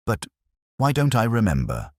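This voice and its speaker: A man's voice: mid-range voice, wildly swooping pitch, normal speed, no room sound, pitched above the performer's own voice. Guy doing a lost frightened actress for an audiobook, unsure, wavering up and down, dry sound. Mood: bewildered. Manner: hesitant, questioning. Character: frightened, bewildered young actress with amnesia.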